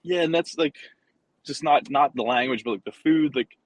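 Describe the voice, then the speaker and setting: A man's voice: mid-range voice, moderate pace, no room sound. A man whose first language is Spanish, a rugby coach talking as a guest. He speaks casually and easily, with many "like" fillers. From United States, Guatemalan family. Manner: relaxed and easygoing, many "like" fillers. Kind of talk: casual interview chat.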